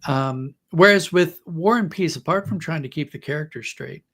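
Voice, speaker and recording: A man's voice: on the high side, moderate pace, a bit bright. A middle-aged or older man in a podcast conversation, talking reflectively about books like a storyteller and illustrating his points with acted-out quotes. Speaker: podcast guest, writer. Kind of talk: conversational storytelling and literary discussion.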